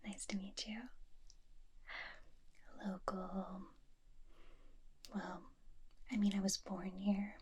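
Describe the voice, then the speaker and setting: A woman playing a flirty, free-spirited small-town witch in a breathy whispered ASMR roleplay, coy and teasing, close to the microphone. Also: medium pitch, level tone, darkish tone. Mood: chatty, coy. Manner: slow, coy, teasing, with drawn-out pauses. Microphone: close binaural microphone.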